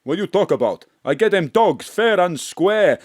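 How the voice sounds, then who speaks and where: An actor playing a cheeky, excitable foreign chip shop owner who banters flirtatiously in broken English, in a comic audio drama. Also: male voice, sits high, normal speed, dry recording, studio quality.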